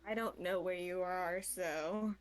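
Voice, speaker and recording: A woman's voice: lowish voice, fairly even intonation, takes it slowly. A woman chatting casually and offhand as a co-player on a game stream. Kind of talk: casual chat.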